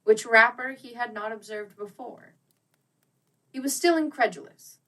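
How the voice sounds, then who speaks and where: A woman narrating a classic ghost story as an audiobook, with theatrical, expressive and deliberate phrasing. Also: on the high side, recorded from a distance, low-quality recording. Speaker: audiobook reader.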